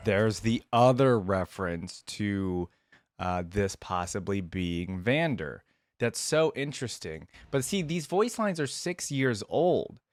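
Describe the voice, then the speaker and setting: A man's voice: fairly low pitch, big pitch swings, slow pace, dry recording. A man hosting a reaction video, giving casual commentary and musing aloud in a conversational way.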